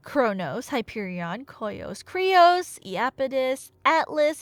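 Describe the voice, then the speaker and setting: Female storyteller, high voice, unhurried delivery, expressive and wry, for a casual dramatic myth retelling. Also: dry recording. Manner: expressive, conversational, wry asides.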